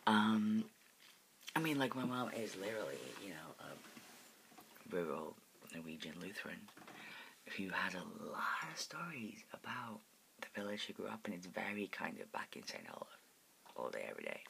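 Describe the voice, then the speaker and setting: Gravelly whisper from a twenty-something. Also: low voice, big pitch swings, normal speed, fairly bright tone, a little hiss or hum, low-quality recording. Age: 20s. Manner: whispering throughout, profane, lots of "like" fillers. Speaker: video blogger answering viewer requests. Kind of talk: whispered casual chat. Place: at home in a parent's house.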